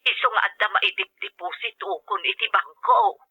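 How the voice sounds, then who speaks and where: Through a muffled phone line comes an actress as a caring, inquisitive older Ilocano mother. Her voice is very sharp and forceful, with a husky edge. She has an Ilocano accent and talks in radio drama style. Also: mid-range voice, fairly even intonation, normal speed. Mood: pleased, reassuring. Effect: telephone filter.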